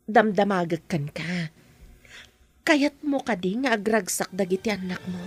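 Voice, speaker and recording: An actress playing an earnest younger woman in a radio drama, concerned and questioning in a gently confronting way. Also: mid-range voice, expressive, a little slow, soft background music, natural voice. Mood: earnest, pressing.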